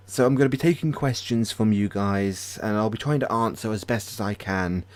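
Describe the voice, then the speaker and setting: A British man hosting a casual livestream, chatting hesitantly with many pauses and restarts. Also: on the low side. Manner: hesitant, lots of ums and restarts, friendly. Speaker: ASMR channel host.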